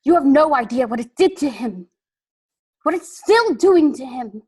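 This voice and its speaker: Strained, troubled young hobbit. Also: male voice, extremely high pitch, sing-song, normal speed, heavily acted. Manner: soft, strained, turning possessive. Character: young male hobbit, burdened, defensive. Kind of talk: film acting.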